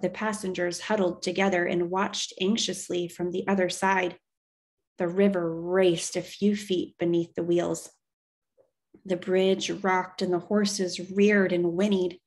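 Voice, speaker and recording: A woman reading a children's novel aloud as an expressive storyteller. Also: fairly low pitch.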